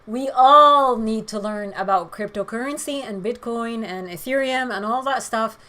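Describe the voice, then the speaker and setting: A woman with a hoarse voice, chatting frankly with viewers during a livestream and giving her opinions with many pauses. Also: a little high, pushed and bright. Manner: frank, opinionated, hesitant fillers. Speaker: livestream host. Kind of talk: casual chat answering viewer comments.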